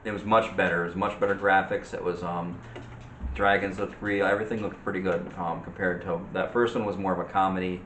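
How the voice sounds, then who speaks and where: A man with a fairly low voice chats about movies as a co-host, halting and wandering through his thoughts. The room echoes around him and there is slight background noise. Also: little pitch movement, fairly bright tone. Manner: halting, rambling delivery. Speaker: co-host of a movie discussion show. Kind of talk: casual chat about movies. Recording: roomy echo with light background noise.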